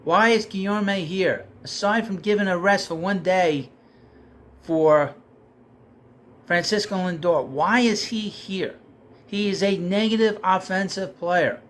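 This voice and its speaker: A man's voice: sits high, measured pace, pauses often. A man ranting casually about his team, getting heated and losing his voice. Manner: heated, rising and louder when frustrated. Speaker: sports commentator. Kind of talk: casual rant.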